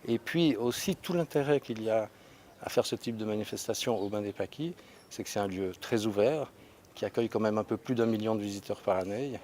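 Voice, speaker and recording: A man's voice: fairly low pitch, brisk, darkish tone, a little hiss or hum. A man organizing an event, speaking earnestly in an interview and repeating small words as he builds his point.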